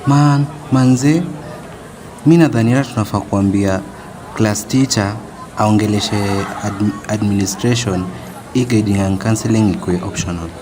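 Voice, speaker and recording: An actor with a Kenyan accent playing a bored, complaining school student in an amateur radio drama, talking in casual slang. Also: male voice, lowish voice, measured pace, music in the background, close to an everyday voice. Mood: bored, grumbling. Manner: casual, mixing English with Swahili slang. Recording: noisy, very reverberant room.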